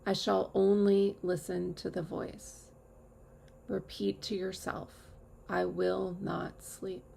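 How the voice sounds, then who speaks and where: A woman teacher leading a guided meditation with calm, measured instructions and long pauses. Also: mid-range voice, creaky, a little hiss or hum. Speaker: yoga nidra teacher.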